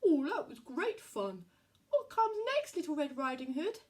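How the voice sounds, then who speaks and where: A woman's voice: sits high, sing-song, a little slow. A friendly badger from a puppet show, played by a woman, full of kindly interest. The voice swoops up and down with eager curiosity.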